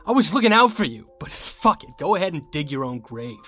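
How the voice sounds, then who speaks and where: A man's voice: fairly high pitch, sing-song, fairly quick, fairly bright tone, faint music behind. An actor playing an earnest, conflicted young college rower in an audio drama, a young adult man with an American accent. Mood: angry. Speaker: lead character and narrator. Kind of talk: audio drama acting with first-person narration.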